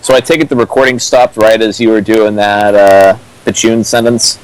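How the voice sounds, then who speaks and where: A man's voice: lowish voice, steady tone, normal speed, low-quality recording. Man reading fan fiction on a stream. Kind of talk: dramatic reading with mocking commentary.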